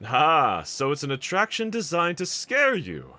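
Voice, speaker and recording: A man's voice: on the high side, huge pitch swings, measured pace, sharp tone, full character voice. A man playing a bold, earnest, reassuring demon slayer swordsman in an anime audio roleplay, speaking with formal, courteous diction. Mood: curious, puzzled.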